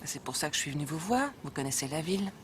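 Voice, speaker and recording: Cool, curt army lieutenant. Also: female voice, deep voice, fast talker. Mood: steady, dry. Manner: calm, curt and firm. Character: tough female army crime investigator, cool and dry. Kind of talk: French film dub. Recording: film soundtrack with street noise.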